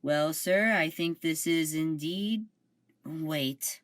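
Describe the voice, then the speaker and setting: A woman's voice: deep voice, slow pace. A woman playing a flustered young officer. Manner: hesitant, polite, flustered.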